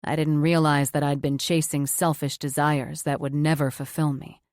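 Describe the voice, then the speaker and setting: A woman voicing a tender, remorseful man, a doctor, speaking low and earnestly. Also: little pitch movement, normal speed, a little mellow, no echo, studio quality, lightly acted.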